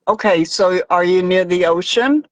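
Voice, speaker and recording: A woman hosting a live craft stream, chatting casually with viewers and a guest, halting often with fillers. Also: lowish voice, no room sound.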